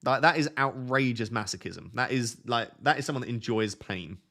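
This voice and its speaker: A man's voice: medium pitch, moderate pace, pushed and bright. Soothing young man streaming chat. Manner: reads viewer questions aloud and answers them; self-described relaxing, soothing voice. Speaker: fantasy football streamer. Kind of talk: casual live stream chat.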